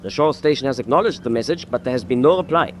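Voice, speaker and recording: Faint noise runs behind a man playing a wartime ship's officer in a radio drama. He talks fast at a medium pitch, dutiful and matter-of-fact, deferring to his superior. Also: expressive, lightly acted. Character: deferential, efficient German ship's first officer. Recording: noisy audio with ship ambience behind.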